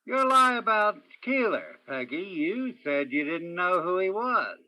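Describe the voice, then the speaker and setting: A man's voice: high voice, big pitch swings, a little slow, no room sound, muffled recording. A man playing a persistent, world-weary hard-boiled detective in a radio crime drama. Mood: pressing. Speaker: stage actor in a radio play. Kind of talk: old-time radio crime drama acting.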